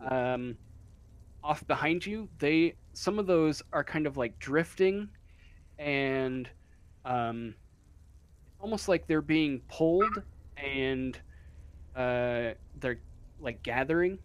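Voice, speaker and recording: Slow, halting male game master. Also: a little high, expressive, clean tone. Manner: halting, searching for words, casual. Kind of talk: improvised narration of a tabletop game.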